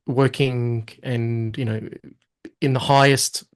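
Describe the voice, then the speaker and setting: A man's voice: mid-range voice, slow pace, smooth. A man, a guest telling his story in a casual interview, acting out his own past thoughts. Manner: animated storyteller, quotes himself in lively asides.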